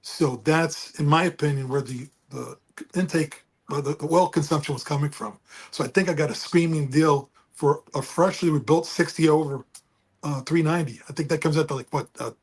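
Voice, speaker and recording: A man with a booming voice chatting casually and rambling about engines over a remote call. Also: normal speed, breathy edge. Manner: rambling, folksy, restarts often. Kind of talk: casual car chat. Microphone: remote call with speaker feedback.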